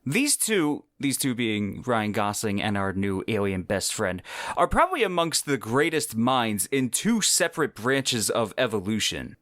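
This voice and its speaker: A man's voice: fairly low pitch, big pitch swings, a little slow, a bit bright, no echo. Enthusiastic man reviewing a book, dry mic. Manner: enthusiastic, wry asides. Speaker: podcast host reviewing a novel. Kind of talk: scripted book review monologue.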